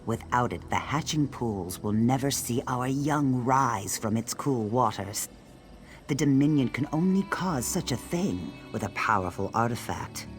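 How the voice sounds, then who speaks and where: Fantasy game reptilian male , played by a man: grave, formal, urgent, mid-pitched, over prominent music. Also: expressive, unhurried, natural voice. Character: grave Argonian lizard-man, urgent and solemn. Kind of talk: fantasy video game dialogue.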